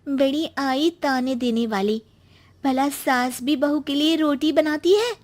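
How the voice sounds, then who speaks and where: Woman acting a high-pitched, suspicious young daughter-in-law. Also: slow, darkish tone. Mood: snide, resentful. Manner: sugary to elders, snide in asides. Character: suspicious, sharp-tongued young daughter-in-law, privately resentful. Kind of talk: acted Hindi family moral story.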